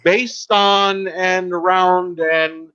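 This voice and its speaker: A man hosting a casual podcast in an informal, halting, promotional way. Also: high-pitched, level tone, sharp tone, smooth, highs cut off. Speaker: podcast host.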